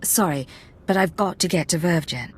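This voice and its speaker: Lady playing a spy in a game, poised, persuasive, lowish voice, slight noise behind. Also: normal speed, natural voice. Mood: coaxing. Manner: controlled, smooth-talking. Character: poised, persuasive female secret agent.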